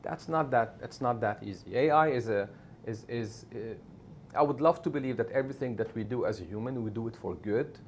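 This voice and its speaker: Gravelly middle-aged man, emphatic. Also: mid-range voice, brisk, a little hiss or hum. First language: Arabic. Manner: emphatic, keeps adding "right?" tags. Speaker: media executive guest. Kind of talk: conversational interview answers.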